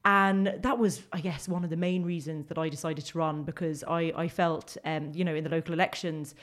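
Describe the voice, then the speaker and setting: A woman's voice: deep voice, steady tone, brisk. A young woman from London with a London accent, a guest on a casual podcast, talking earnestly with many fillers and restarts. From England. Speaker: guest, local election candidate.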